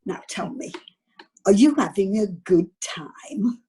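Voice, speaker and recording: A woman playing a fussy, chatty retired wife with a northern English accent and a husky voice in an amateur radio comedy. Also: medium pitch, expressive, slow pace, mellow, exaggerated voice. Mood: polite, gushing. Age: older. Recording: online video meeting audio.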